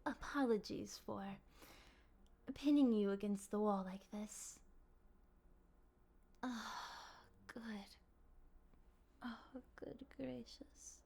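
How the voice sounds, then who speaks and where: Woman acting a slow, breathy, possessive vampire lady. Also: fairly high pitch, dark tone, a little hiss or hum, full character voice. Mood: hungry, pleading. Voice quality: breathy, often dropping to a whisper. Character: possessive, courteous, hungry female vampire, soft dominant. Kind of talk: intimate ASMR roleplay acting.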